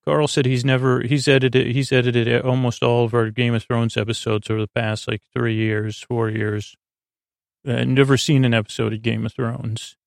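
A man hosting a bedtime podcast in a rambling monologue, halting and stammering, often repeating himself and trailing off mid-word. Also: medium pitch, flat delivery, dry recording, studio quality. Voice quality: creaky, gravelly. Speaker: podcast host.

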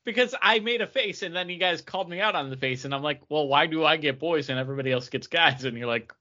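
A man's voice: on the high side, fast, bright, forceful tone, highs cut off. A man co-hosting a podcast, talking casually with friends. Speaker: podcast co-host. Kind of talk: casual banter.

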